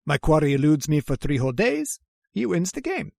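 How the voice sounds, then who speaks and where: A man's voice: a little high, big pitch swings, a little fast, gravelly, darkish tone, dry recording, clean studio sound, full character voice. A man acting the part of a genteel, sinister aristocratic general in a radio horror drama, courteous and suave with theatrical menace. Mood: smug, measured.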